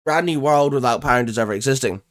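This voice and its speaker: A man's voice: medium pitch, rapid-fire, a bit bright. A man co-hosting a fan discussion show, talking casually and enthusiastically about geeky favourites in a loose, hedging way. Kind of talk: casual geek chat, ranking discussion.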